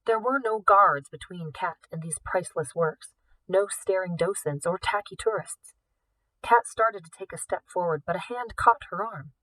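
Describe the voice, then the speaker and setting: A woman reading a story aloud in an expressive, scripted narration style. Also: lowish voice, normal speed, poor audio. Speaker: audiobook reader, teacher.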